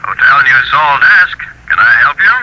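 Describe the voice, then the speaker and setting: Guy as terse caller on old radio drama phone line, average pitch, crazy hissy. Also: animated, normal speed, natural voice. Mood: formal. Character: terse male voice on a hotel telephone line. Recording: very noisy old radio, telephone sound.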